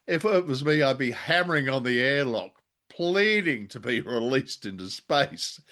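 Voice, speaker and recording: A man's voice: mid-range voice, animated, unhurried, fairly bright tone. A man co-hosting a podcast, giving a casual review with wry, jokey exaggeration. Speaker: podcast co-host.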